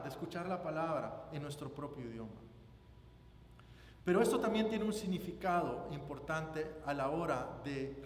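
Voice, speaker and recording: A man with a Guatemalan Spanish accent preaches a sermon, phrasing it deliberately and emphatically and repeating phrases for weight. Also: a little high. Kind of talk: sermon with scripture reading and personal anecdote. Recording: roomy echoing hall, background noise.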